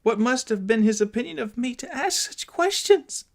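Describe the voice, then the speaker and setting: A distressed little girl from an audiobook, acted by a man, pitched far above a grown man's voice. The delivery is trembling and halting, full of shame and pleading. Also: expressive, vocal fry, exaggerated voice. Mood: ashamed, pleading. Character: tearful twelve-year-old girl, ashamed and pious. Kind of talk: dramatised audiobook reading.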